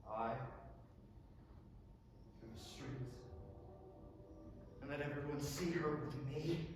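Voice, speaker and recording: Male actor as ruined stage writer, tormented and grave, halting delivery, far from the mic with hall reverb and noise. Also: a little high. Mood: despairing. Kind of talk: staged play reading, theatrical acting. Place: large echoey indoor performance space, distant mic. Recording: echoey hall from far off, noisy.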